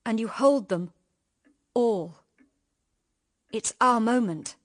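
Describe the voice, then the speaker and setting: A slow, fairly high voice in a dry studio: a self-sacrificing sister in a radio play. She is grave, earnest and resolute. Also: no echo. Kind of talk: radio drama acting.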